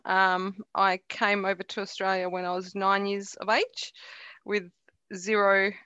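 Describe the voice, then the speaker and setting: A woman's voice: medium pitch, flat delivery, measured pace, bright, forceful tone, clean tone, no echo, highs cut off. A middle-aged woman from Croatia, a Croatian speaker with a faint Croatian accent, chatting easily in an interview, laughing often and restarting her sentences. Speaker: interview guest. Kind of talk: relaxed conversational interview.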